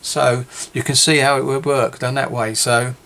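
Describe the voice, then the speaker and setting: A man's voice: medium pitch, little pitch movement, normal speed, gravelly, sharp tone. A man with a British accent giving a casual, rambling walkthrough of a model kit, often correcting himself, his voice a little worn. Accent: British English. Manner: rambling, self-correcting, chatty. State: losing his voice. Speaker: scale model builder. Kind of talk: casual kit review video.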